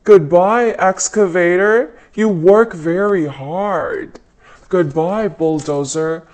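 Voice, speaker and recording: A woman's voice: lowish voice, slow pace, a little mellow, voice pushed down. A woman voicing a helpful police car in a children's storybook reading, earnest and eager to help. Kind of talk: storybook read-aloud. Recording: noticeable background noise.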